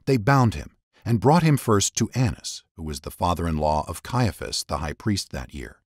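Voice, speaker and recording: A man reads scripture as a dramatic narrator. His low voice swings widely in pitch as he sets each phrase apart in measured storytelling. The recording is close and dry. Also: big pitch swings, husky, no room sound. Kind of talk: dramatic scripted Bible reading.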